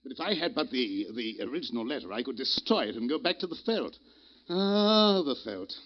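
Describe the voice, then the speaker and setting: An actor in an old radio detective drama playing a blustering, genteel schemer who sputters and stammers pompously. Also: male voice, mid-range voice, very animated, moderate pace. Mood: pained indignation. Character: blustering, genteel captain being blackmailed, fussy schemer. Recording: muffled vintage radio recording.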